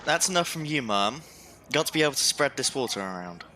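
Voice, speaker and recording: Victorian working man, audio drama: wry, kindly, down-to-earth, forceful bright tone at middling pitch. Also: big pitch swings, fairly quick. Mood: wry, teasing. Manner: teasing, down-to-earth.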